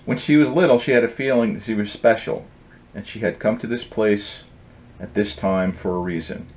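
Low-voiced middle-aged man reading his book. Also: normal speed, a little mellow. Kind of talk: storybook reading with casual introduction. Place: indoor home office.

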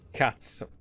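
A man in his thirties, a game streamer talking casually and informally in speech on a close mic. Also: background noise. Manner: informal, crude slang. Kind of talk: casual live commentary.

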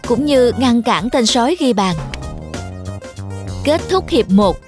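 A woman's voice: a little high, expressive, slow, darkish tone. A woman narrator reading a scripted children's story. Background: music.

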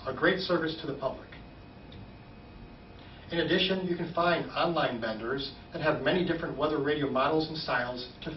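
A man in his forties giving a measured, formal, scripted informational presentation as a weather service spokesman. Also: a little high. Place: small indoor operations room, standing at a podium. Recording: roomy, slightly dull, distant, faint noise.

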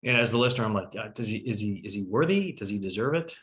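A man's voice: fairly low pitch, expressive, fast talker, a bit bright. A middle-aged man hosting a casual discussion on a video call, talking emphatically and musing in questions. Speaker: host.

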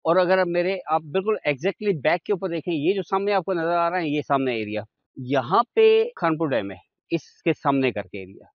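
A middle-aged man with a Pakistani accent presents a site as an estate agent, informally explaining and pointing out features. His voice sits a bit high. The recording is dry and slightly dull, with no echo around the words. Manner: explanatory, repeatedly pointing things out. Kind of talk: informal sales walk-through. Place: outdoors on a forested hillside road.

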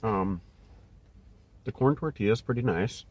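A man's voice: fairly low pitch, moderate pace, slightly rough. A man in his thirties with an Upper Midwest accent, casually reviewing snack food from a parked car. He is a bit congested, fills gaps with ums, and chats while he eats. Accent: Upper Midwest American. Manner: relaxed, many filler ums, talks while eating. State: slightly congested. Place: parked car, indoors, small cabin. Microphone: phone on dash holder. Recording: phone in car with steady background noise.

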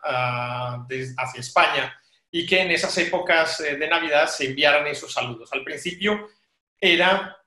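A man's voice: on the high side, normal speed, pushed and bright. A man, speaking formally and articulately as a journalist on a panel by video call. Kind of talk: measured panel discussion. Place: small home office, on a video call. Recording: echoey room over video call.

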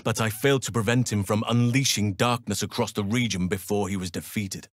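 Fantasy game elf druid, male actor: lowish, dry sound, wise, steadfast, measured and formal. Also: steady tone, no room sound, lightly acted. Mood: earnest, measured. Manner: measured, formal, solemn phrasing. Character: large wise gentle elf druid man, earnest and steadfast. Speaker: video game companion character. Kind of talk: acted fantasy game dialogue.

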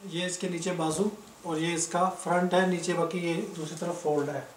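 A man from Pakistan showing clothes for sale, listing prices in a brisk, matter-of-fact way. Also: on the high side, little pitch movement, recorded from a distance, background noise. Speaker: online clothing seller. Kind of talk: casual product showcase. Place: indoors, filming fabrics on the floor. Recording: echoey room, somewhat off-mic.

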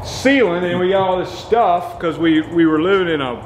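A man's voice: sits high, normal speed, a little hiss or hum, rough recording. A man, casually showing off his workshop in a large metal pole barn, rambling with many restarts and self-deprecating asides. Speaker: lawn care business owner showing his shop. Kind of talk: casual shop tour chat.

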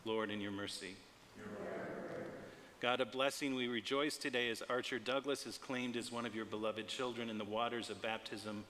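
A middle-aged man, a pastor, reading prayers in a measured, solemn and deliberate way. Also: mid-range voice, steady tone, bright, forceful tone. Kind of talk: read liturgy and intercessory prayer. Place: church sanctuary, standing at the altar. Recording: long church reverb, clear background noise.

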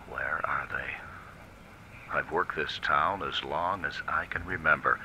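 An actor playing a world-weary, lovesick hard-boiled police detective in a brooding, slangy film noir radio drama style. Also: male voice, rumbling low, creaky, sharp tone, a little hiss or hum, heavily acted, rough recording.

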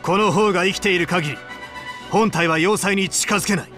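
An actor playing a stern, driven young military squad leader in a Japanese video game. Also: male voice, high voice, fairly quick, sharp tone. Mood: commanding. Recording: music behind the voice.

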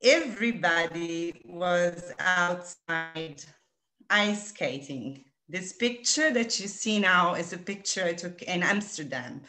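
Slow-talking Italian-accented woman guide. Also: fairly low pitch, animated, sharp tone, low-quality recording. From Italy. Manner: slow, hesitant, many fillers. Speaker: tour director. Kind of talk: virtual tour presentation. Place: home room. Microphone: video call.

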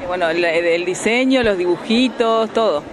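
A woman's voice: lowish voice, normal speed. A woman reporter, friendly, asking short questions in an on-site interview at a large indoor fair. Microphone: handheld mic. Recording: crowd chatter behind. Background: crowd chatter.

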